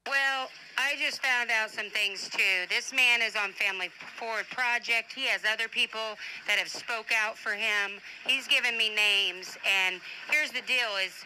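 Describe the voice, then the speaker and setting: A woman's voice: on the high side, a little slow, few pauses, bright, forceful tone, slight background noise, poor audio. A middle-aged woman speaking in an informal voice-message monologue, blunt, indignant and defensive, swearing freely. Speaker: advocate and online broadcaster.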